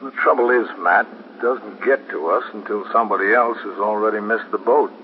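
A man playing a steady, sober police captain in a 1950s radio drama. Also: on the low side, a little fast, lightly acted. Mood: grave, concerned. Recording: muffled, noisy 1950s radio recording.

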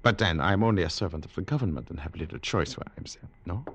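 An actor playing a sly colonial government official in an old radio drama, speaking in slow, formal, foreign-sounding phrases. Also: male voice, deep voice, expressive, highs cut off. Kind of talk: 1950s radio drama acting. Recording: old radio recording with faint hiss.

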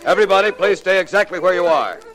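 A man acting a brisk, courteous private detective in a 1940s radio crime drama, with an American accent and an authoritative manner. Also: sits high, animated, crowd noise. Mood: commanding. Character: brisk, commanding male private detective taking charge. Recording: old 1940s radio recording.

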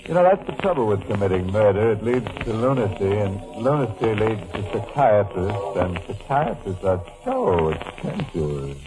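An actor playing a sardonic, ghoulishly jovial host, delivering droll, macabre introductions in 1940s radio horror style. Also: male voice, lowish voice, sing-song, moderate pace, hardly any pauses, low-quality recording. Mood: gleeful, mocking. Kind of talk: tongue-in-cheek 1940s radio horror hosting. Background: music.

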